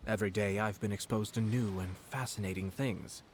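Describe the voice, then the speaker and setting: An actor playing a composed young noble commissioner in a video game, speaking with measured, courteous, quietly sardonic calm. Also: male voice, fairly low pitch, a little hiss or hum, close to an everyday voice. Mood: calm, cutting. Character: composed noble male commissioner, politely cutting. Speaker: game character.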